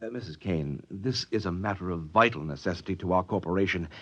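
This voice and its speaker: An actor playing a flustered, stuffy company official in a 1950s radio drama, formal and officious, with a stammering manner. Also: male voice, low-pitched, fairly quick. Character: flustered, stuffy male corporate official defending himself. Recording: dull, reverberant 1950s radio recording.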